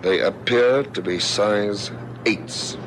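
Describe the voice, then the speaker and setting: Low-voiced South African police detective, noisy recording. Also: male voice. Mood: thoughtful. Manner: brisk, questioning, dry. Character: dogged, sceptical male police detective lieutenant. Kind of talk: vintage radio police drama acting. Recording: noisy vintage radio drama recording.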